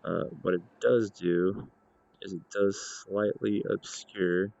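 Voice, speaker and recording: A man narrating an informal software demonstration in a measured, explanatory way. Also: low-pitched, flat delivery, creaky. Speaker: gaming video creator demonstrating software. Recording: dull lo-fi voice recording.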